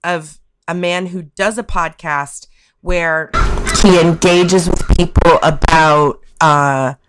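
A woman's voice: lowish voice, steady tone, slow, clear, resonant tone, rough recording. A woman from the United States in a casual podcast chat, talking in a wry, reflective, rambling way. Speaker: podcast guest discussing topic of the week.

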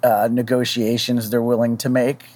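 Mid-pitched man thinking aloud on a podcast. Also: little pitch movement, normal speed, darkish tone. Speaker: podcast panelist. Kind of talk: casual discussion.

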